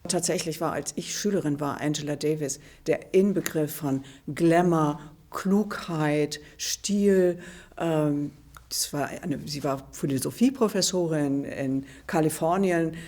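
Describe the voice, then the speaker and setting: A woman's voice: sits low, a little slow, darkish tone. A woman in her sixties from Germany, giving a thoughtful, hesitant interview answer. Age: 60s. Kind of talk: reflective interview clip.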